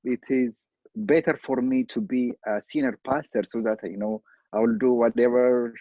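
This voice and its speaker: A middle-aged man from Ethiopia with an Ethiopian accent, a pastor talking in a podcast interview in an earnest, halting way with many fillers. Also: medium pitch, fairly even intonation, no room sound, lo-fi sound. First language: Amharic.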